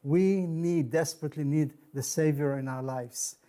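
A middle-aged man whose first language is Hebrew, speaking as a guest minister in an interview, earnest and preacherly with emphatic pauses. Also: fairly high pitch, mellow. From Israel. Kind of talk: interview, testimony and blessing.

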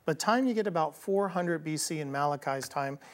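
A man co-hosting a Bible prophecy TV program, teaching in a conversational, animated way and voicing quoted people casually. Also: on the high side, a little fast.

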